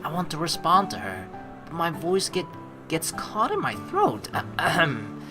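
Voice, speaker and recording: A woman's voice: low voice, wildly swooping pitch, a little slow, music in the background. A young woman doing playful, slangy let's play commentary and reading game narration aloud.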